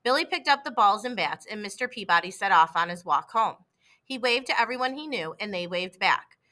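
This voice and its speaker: A woman with a bright, clear-cut storytelling delivery reads a children's book aloud. Her pitch sits in the middle, and she narrates expressively and deliberately. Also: animated. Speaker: storybook reader.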